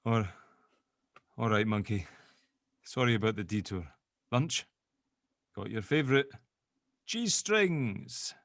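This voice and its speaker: An actor playing a gruff, clumsy ex-convict father who is keen to please, in a drama table read. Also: lowish voice, expressive, slow pace, long pauses, a bit bright, no room sound, studio quality, exaggerated voice. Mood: apologetic, affectionate.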